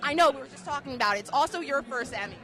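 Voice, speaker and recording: A woman hosting on a red carpet, excited and chatty with her guest. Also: sits high, very fast, a crowd in the background.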